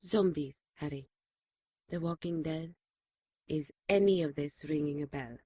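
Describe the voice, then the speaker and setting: Caring, earnest nun, audio drama, slightly husky female voice, dry close sound. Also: sits low, unhurried, mellow, no echo. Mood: weary exasperation. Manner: soothing, earnest, exasperated at times.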